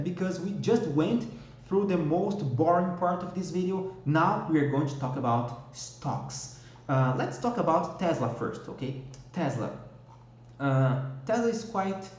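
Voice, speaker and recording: A man's voice: fairly high pitch, a little mellow, heavy reverb, rough recording. A man from Brazil with a Brazilian Portuguese accent, walking viewers through a stock market technical analysis and often checking in with "okay?". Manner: explanatory, frequent "okay?" and "all right?" checks.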